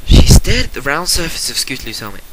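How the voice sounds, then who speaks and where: Animated man narrating over loud noise. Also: mid-range voice, fast talker. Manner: stumbling, self-correcting, amused. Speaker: amateur story reader. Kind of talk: dramatic reading of fan fiction, unrehearsed. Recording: loud background noise with clicks.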